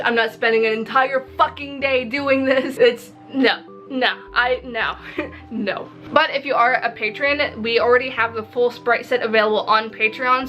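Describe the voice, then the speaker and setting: A woman chatting casually in a video diary, with an animated, expressive, self-mocking manner and casual swearing. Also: fairly high pitch, lo-fi sound. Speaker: visual novel developer and vlogger. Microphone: recording to camera. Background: background music.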